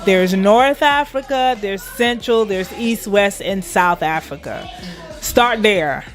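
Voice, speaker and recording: A woman from Liberia, a guest in an interview, speaking with pride and emphasis and laughing often. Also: medium pitch. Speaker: guest, internet radio station manager. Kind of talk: casual interview chat.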